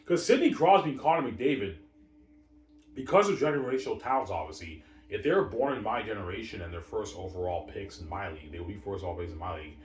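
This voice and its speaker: A man's voice: lowish voice, lively intonation, fast. A man rambling casually, repeating himself in a boastful way, with emphatic bursts that trail off. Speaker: vlogger. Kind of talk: rambling casual hockey talk. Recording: roomy, distant mic, faint noise.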